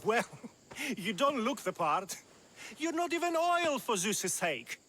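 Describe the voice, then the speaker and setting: A wry, high-voiced fight trainer. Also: male voice, very animated, slow, pushed and bright, a little hiss or hum, exaggerated voice. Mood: teasing. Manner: wry, mocking.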